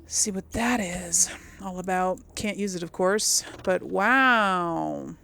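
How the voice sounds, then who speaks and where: A woman's voice: on the low side, takes it slowly, vocal fry, pushed and bright. A woman giving casual, chatty gameplay commentary as host, musing aloud and often trailing off. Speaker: gaming video host.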